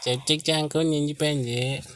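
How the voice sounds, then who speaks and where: A man's voice: fairly high pitch, steady tone, fairly quick, slight background noise. A man casually making a comedy prank call, persistent and mock-earnest in his pleading. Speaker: prank caller and host.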